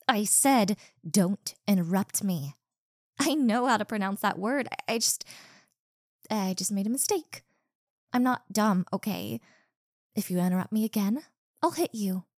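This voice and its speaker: A young woman playing a rude, insecure popular college girl in a one-sided roleplay, sharp-tongued and defensive, stumbling over her words. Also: mid-range voice, dry recording, clean studio sound. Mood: hostile, irritated. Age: young adult.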